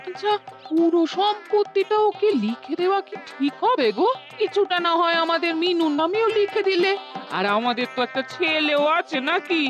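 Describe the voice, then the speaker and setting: A woman's voice: extremely high pitch, expressive, normal speed, faint music behind. A woman playing an anxious, doubting mother-in-law in a cartoon, fretful and exclamatory. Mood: worried, doubting. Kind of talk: cartoon dialogue.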